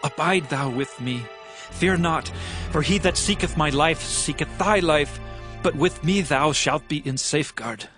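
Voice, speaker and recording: An actor playing a young outlaw leader in a dramatized audio Bible, speaking earnestly and resolutely. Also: male voice, a little high, measured pace, a bit bright, faint music behind. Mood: earnest resolve.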